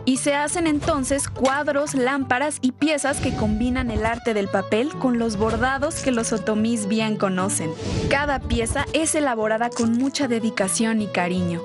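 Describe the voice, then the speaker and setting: A woman's voice: fairly high pitch, normal speed. Woman narrating a documentary over music. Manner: smooth, even read. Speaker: narrator of a video segment.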